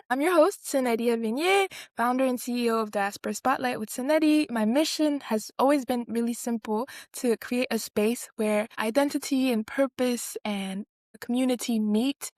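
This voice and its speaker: High-voiced woman hosting a podcast. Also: dry recording, studio quality. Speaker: podcast host and interviewer. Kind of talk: scripted introduction and conversational interviewing.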